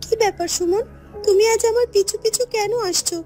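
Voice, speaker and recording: Very high young female voice, put on by a woman, proud earnest village heroine, cartoon fairy tale, music behind. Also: fairly quick, a little mellow. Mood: reproachful.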